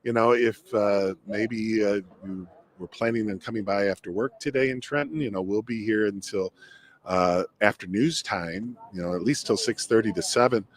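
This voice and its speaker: Male weather presenter, lowish voice, casual live event chat, halting, rambling asides. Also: a little hiss or hum. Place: inside a hardware store at a public event.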